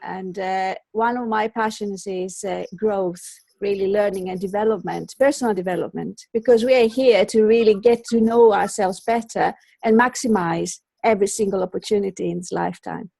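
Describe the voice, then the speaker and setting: A middle-aged woman with a Greek accent, a native Greek speaker, telling her own story as an interview guest in an expressive, wandering way with repeated words. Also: mid-range voice, moderate pace, gravelly, few pauses, dry recording. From Greece. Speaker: interview guest, colour psychologist and teacher.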